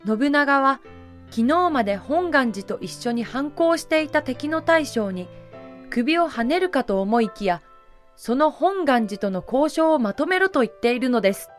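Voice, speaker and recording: A woman's voice: high voice, expressive, a little slow, faint music behind. A woman narrator delivering scripted, dramatic historical storytelling for an audio drama.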